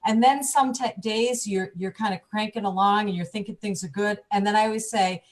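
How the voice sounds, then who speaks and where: Woman, divorce coach, calm explaining, frequent restarts, mid-pitched voice. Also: fairly quick. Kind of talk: panel discussion.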